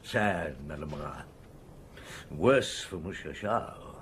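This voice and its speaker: An actor playing a courteous, dogged police chief inspector, measured and dry, in a radio detective drama. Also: male voice, low-pitched, expressive, fairly bright tone, a little hiss or hum, heavily acted. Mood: courteous, probing.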